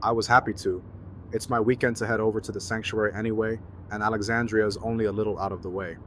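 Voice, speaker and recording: A man's voice: lowish voice, fairly even intonation, fast talker, slight background noise, close to an everyday voice. A man acting an easygoing, friendly buddy with casual, slangy talk in an audio drama. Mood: friendly, upbeat. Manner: casual, slangy, laid-back.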